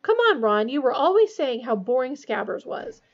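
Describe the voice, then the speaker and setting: Woman playing breezy, teasing teenage older brother, husky. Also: fairly high pitch, sing-song, normal speed, highs cut off. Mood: bracing. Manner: bracing, teasing.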